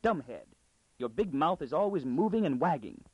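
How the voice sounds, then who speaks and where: A man voicing a sharp, motherly older wife in an audiobook, blunt and scolding with folksy phrasing. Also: fairly high pitch, very animated, normal speed, no echo, lo-fi sound, pitched above the performer's own voice. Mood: hissing scold. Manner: nagging, blunt, folksy inverted syntax.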